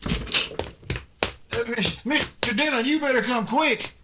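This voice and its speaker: High-voiced anxious sidekick. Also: male voice, a little slow, heavy background noise. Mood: urgent. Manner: folksy, hesitant. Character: anxious, simple, loyal male deputy sidekick in the Old West. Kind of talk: old-time radio western acting.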